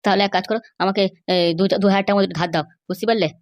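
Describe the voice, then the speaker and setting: A woman's voice: mid-range voice, steady tone, no echo, natural voice. A woman voicing a scheming, pleading young runaway man in a comedy cartoon, with a pushy, wheedling manner. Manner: wheedling, pushy, fast-talking.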